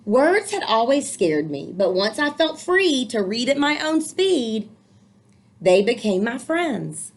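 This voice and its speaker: A woman reads a storybook for young listeners in a slightly high voice. Her delivery swings expressively up and down. She has an encouraging, explanatory manner, like a teacher guiding children through the book. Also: very animated, slow pace. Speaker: teacher reading a picture book aloud. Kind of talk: storybook read-aloud with commentary for children.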